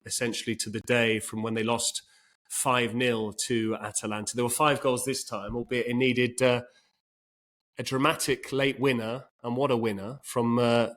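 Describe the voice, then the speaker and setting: A man's voice: mid-range voice, a bit bright. Chatty man talking football. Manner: thoughtful, many restarts. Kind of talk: casual football chat.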